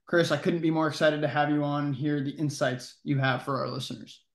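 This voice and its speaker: A man's voice: a little high, flat delivery, brisk, gravelly. Male podcast co-host, upbeat intro and casual questions, echoey room sound. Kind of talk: podcast hosting, read intro then questions.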